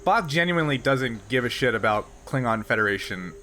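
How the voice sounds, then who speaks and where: A man's voice: mid-range voice, expressive, brisk, bright, forceful tone, slight background noise. A man speaking casually as the game master of a tabletop roleplaying game.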